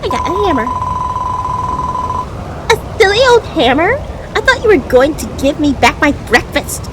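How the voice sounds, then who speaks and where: Woman playing a high-pitched, bossy, teasing girl. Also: big pitch swings, moderate pace, background noise. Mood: indignant. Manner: pert, teasing, demanding.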